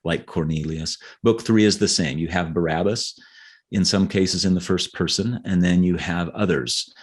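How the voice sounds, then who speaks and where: A man's voice: low-pitched, moderate pace, slightly rough. A man talking as an author in a conversational podcast interview, earnest and explanatory, often restarting his phrases.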